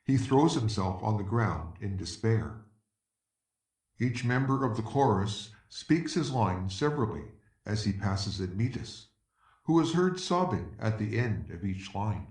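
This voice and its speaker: A man with a fairly low voice reads scripted stage directions as narrator. He sounds slightly distant, with clear room echo. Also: animated, a little slow, darkish tone. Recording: echoey room, distant from mic.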